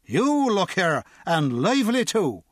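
A man's voice: high-pitched, very animated, a little slow, dry recording, clean studio sound, voice pushed up, full character voice. A man voicing a blustering, red-bearded drunk with a Dublin accent, slurring pompously and hiccuping. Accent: Irish, Dublin.

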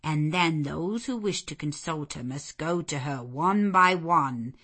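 A woman's voice: deep voice, measured pace, a bit bright, breathy edge, exaggerated voice. Storybook role: male footman, voiced by a woman, humble, plain-spoken, deferential to gentry. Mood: flustered, respectful.

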